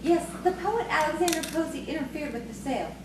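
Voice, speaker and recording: Through a roomy, noisy, distant recording comes a young farmer's daughter, acted by a woman, coy and proud. The voice is high and very animated, with the earnest storytelling delivery of stage radio drama. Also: a little fast. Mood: confiding. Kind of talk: audio theatre acting.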